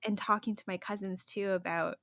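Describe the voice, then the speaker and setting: A young woman hosting a podcast, talking casually and openly, quick to agree and share her feelings. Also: medium pitch, fairly even intonation, no echo. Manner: warmly engaged, quick affirmations, emotional. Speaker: podcast host. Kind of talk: casual conversation.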